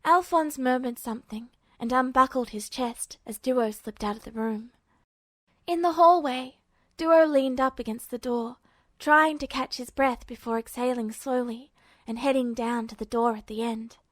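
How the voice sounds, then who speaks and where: A woman's voice: a little high, moderate pace, dry recording. A woman reading scripted fiction as a narrator.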